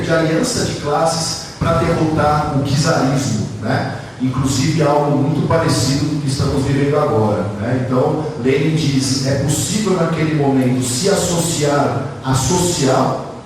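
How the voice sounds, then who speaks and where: A man's voice: a little high, normal speed. Brazilian man lecturing in a very echoey hall. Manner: discursive, frequent rhetorical tag questions. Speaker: academic speaker. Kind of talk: academic lecture at a conference panel. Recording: very echoey hall, distant mic, faint noise.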